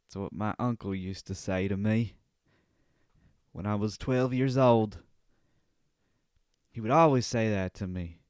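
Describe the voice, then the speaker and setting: A man's voice: medium pitch, clear, resonant tone, no room sound, clean studio sound. A man hosting his own comedy podcast, rambling, swearing and laughing at his own jokes. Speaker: podcast host. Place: home studio.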